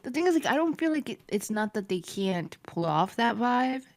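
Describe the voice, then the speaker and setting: A woman's voice: fairly high pitch, sing-song, normal speed, darkish tone, dry recording. A woman co-hosting a podcast in casual chat, thoughtful and hedging her points. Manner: thoughtful, hedging, laughs often. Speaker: podcast co-host.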